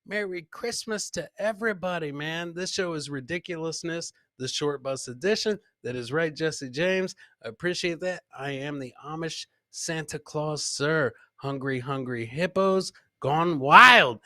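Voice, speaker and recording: Bright, high-voiced man hosting comedy. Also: measured pace, hardly any pauses, no echo, studio quality. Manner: boisterous, jokey, repeats catchphrases. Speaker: podcast host. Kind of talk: live comedy commentary on video clips.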